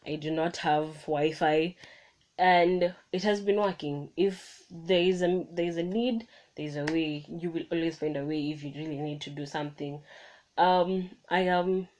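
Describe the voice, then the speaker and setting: Low-voiced young woman, chatty phone podcast. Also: steady tone, a little slow, darkish tone. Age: young adult. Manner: chatty, self-correcting, motivational. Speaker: podcast host. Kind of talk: casual solo podcast monologue. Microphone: phone microphone.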